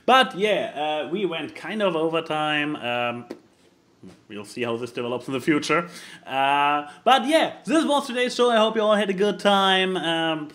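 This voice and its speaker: Male podcast co-host, fairly high voice, very lively intonation, casual, emphatic, repeats words for effect. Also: unhurried. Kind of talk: casual chat.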